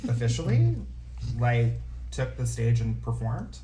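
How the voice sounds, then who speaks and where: A man's voice: mid-range voice, wildly swooping pitch, unhurried, warm tone, slight background noise, low-quality recording. A man playing a fussy, sardonic radio station co-worker in a musical comedy table read. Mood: wry. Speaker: actor in a script table read.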